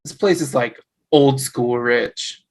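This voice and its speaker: Young husband, read by an actor, wry skeptic, very slow casual delivery, sitcom table read. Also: medium pitch. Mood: skeptical. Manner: dry, casual.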